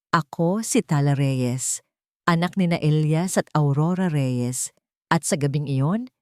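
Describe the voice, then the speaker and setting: A woman tells a dramatic first-person story in a slightly low voice. Her delivery is scripted, solemn and measured. The sound is dry, with very little room in it. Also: darkish tone, no room sound. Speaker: story narrator.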